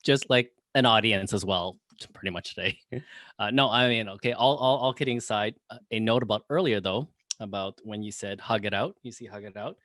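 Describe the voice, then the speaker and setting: A man from Canada speaks in a low voice over a video call. He is animated and expressive and laughs easily between points. The sound is dry, without any room echo. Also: a little fast. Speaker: panelist. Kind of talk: panel discussion.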